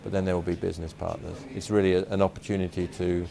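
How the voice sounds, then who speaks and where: A man's voice: sits low, level tone, fast, vocal fry, a crowd in the background. A man talking in an interview about hosting business guests, hesitant with restarts. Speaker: club business host.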